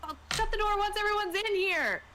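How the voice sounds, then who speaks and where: A woman's voice: very high voice, brisk, a crowd in the background. A woman playing a tabletop roleplaying game, chatting casually and acting out her moves. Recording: very noisy recording with clicks.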